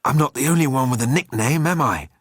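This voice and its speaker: A man's voice: medium pitch, fast, noisy background, pitched above the performer's own voice, natural voice. A man voicing a cocky, flippant male alien rogue with a breezy, teasing manner in a comic sci-fi audiobook. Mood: cheeky, strained.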